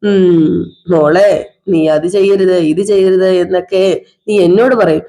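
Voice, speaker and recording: Drunk, sulking husband, played by a woman: slow sarcastic drawl, mellow dark voice. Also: fairly low pitch, steady tone, natural voice. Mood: accusing. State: drunk (as acted). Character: drunk, bitter middle-aged husband, self-pitying. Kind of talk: one-person domestic drama skit.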